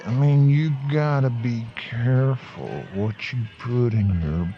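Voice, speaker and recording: A man's voice: medium pitch, animated, unhurried, highs cut off, close to an everyday voice. A man playing a laid-back, spaced-out hippie stoner co-host in a comedy radio sketch, drowsy and trailing off. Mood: earnest, hazy.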